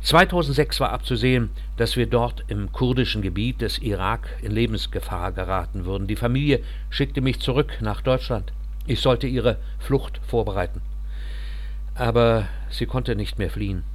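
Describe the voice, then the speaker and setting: A man reading the part of a reserved thirty-one-year-old refugee engineer, telling his story quietly and earnestly. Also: mid-range voice, normal speed, natural voice.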